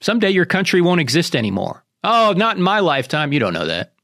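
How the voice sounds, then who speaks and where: Animated, high-pitched American man hosting radio. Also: fast talker, no room sound, studio quality. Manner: emphatic, preacherly, addresses listeners directly. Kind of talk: radio monologue with rhetorical questions.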